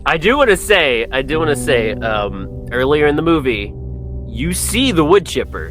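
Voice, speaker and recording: A man's voice: fairly high pitch, sing-song, normal speed, music in the background. A man co-hosting a casual film chat, agreeable and easygoing in his wording, with some swearing. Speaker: podcast co-host.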